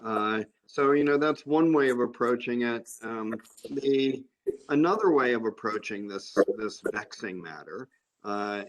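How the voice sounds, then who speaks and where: A man giving a measured academic comment at a conference, with many fillers. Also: medium pitch, animated, rough recording. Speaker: conference panelist. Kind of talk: academic discussion.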